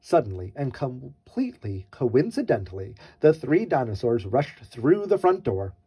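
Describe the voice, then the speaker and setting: A man's voice: medium pitch, sing-song, normal speed, mellow, husky. A man reading a children's story aloud with wry, theatrical mock innocence.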